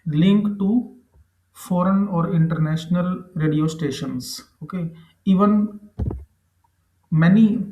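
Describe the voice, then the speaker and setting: A man with an Indian accent narrating a screen tutorial in a halting, repetitive, explanatory way. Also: a little high, a little mellow. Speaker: presenter. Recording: echoey room.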